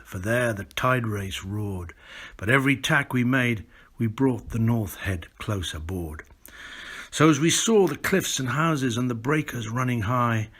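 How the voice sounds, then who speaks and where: A man's voice: on the low side, fairly bright tone, slightly rough. British older man reciting verse. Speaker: author and poetry reader. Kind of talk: expressive poetry recitation with spoken introduction.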